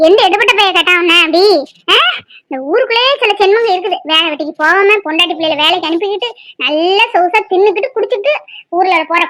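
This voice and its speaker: A woman's voice: very high voice, bright, forceful tone, no room sound. A woman acting a feisty, protective village housewife in a drama, blunt and chatty.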